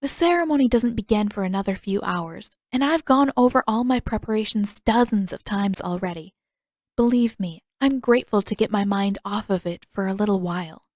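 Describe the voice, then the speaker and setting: A woman's voice: medium pitch, moderate pace, dry recording, clean studio sound, lightly acted. Woman playing a gracious, sweet young princess. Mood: polite, reassuring. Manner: polite, gently formal.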